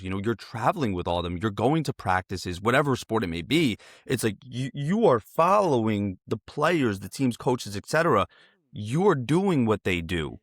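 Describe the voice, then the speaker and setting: A man's voice: fairly low pitch, fairly bright tone, dry recording, studio quality. A man in his twenties hosting a casual sports interview, talking in a rambling, digressive way. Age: 20s.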